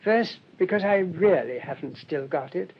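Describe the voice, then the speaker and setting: An actor playing a mild, shrewd English priest in a radio drama, speaking in a gentle, rambling, politely hesitant way. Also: male voice, expressive, moderate pace. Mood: calm, quietly confident. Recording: dull lo-fi radio recording, rumbling noise.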